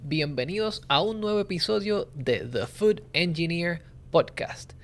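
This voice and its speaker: Male podcast host in his 30s, gravelly edge, asks with tag questions. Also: on the high side, expressive, a little slow, soft background music. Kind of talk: interview hosting.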